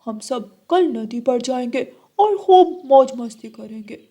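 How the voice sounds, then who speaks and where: Cartoon schoolboy crow played by a woman, very high, muted tone, cheeky schemer with a whiny, eager edge. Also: animated, a little slow, mellow. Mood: scheming.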